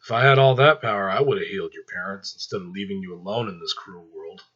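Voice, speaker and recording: A man as a sly, husky Angel of Death. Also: deep voice, fast talker, a bit bright, dull audio. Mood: falsely soothing. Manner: grumbling, coaxing menace. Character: cruel, sly, manipulative male Angel of Death.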